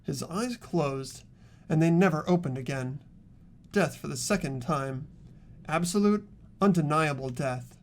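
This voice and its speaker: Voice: mid-range voice, lively intonation, unhurried. A narrator reading scripted, dramatic visual novel text as the protagonist of a game playthrough.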